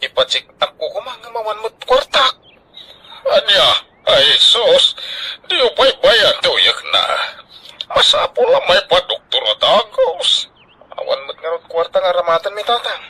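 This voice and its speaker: Radio drama role: affectionate grown Ilocano son, solicitous, bright but husky, very animated. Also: male voice, sits high, a little slow, heavily acted, poor audio. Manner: emotional, solicitous. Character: devoted, affectionate grown son fussing over aging parents.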